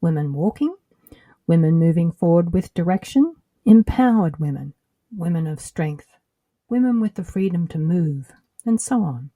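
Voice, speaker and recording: A middle-aged woman with an Australian accent, hosting her own podcast in a measured, earnest scripted narration. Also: lowish voice, animated, mellow, no echo. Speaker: podcast host.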